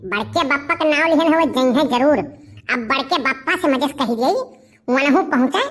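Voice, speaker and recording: A woman's voice: high-pitched, moderate pace, lightly acted. A woman voicing a cheeky, scheming village boy with an Awadhi accent, cajoling and insistent in comic cartoon dialogue.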